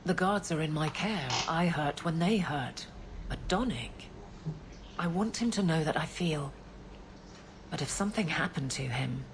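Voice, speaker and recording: An actress playing an earnest, guarded guard captain who talks haltingly about her feelings in a video game. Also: deep voice, lively intonation, background noise. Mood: frustrated, vulnerable. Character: grown woman guard captain, earnest, awkward in love, frustrated. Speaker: game character.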